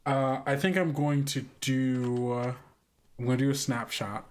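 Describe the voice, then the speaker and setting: A man narrates a tabletop role-playing game as its game master. He speaks very slowly in a mid-pitched voice, casual and measured, leaving pauses between phrases. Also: little pitch movement, a little mellow.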